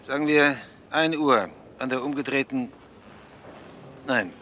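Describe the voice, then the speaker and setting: A man's voice: medium pitch, measured pace, sharp tone, a little hiss or hum. An actor playing a cautious male investigative journalist who answers in guarded, clipped lines. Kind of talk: German TV drama acting.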